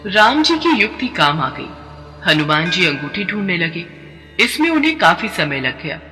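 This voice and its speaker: Expressive male story narrator. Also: sits high, normal speed. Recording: long reverb over background music.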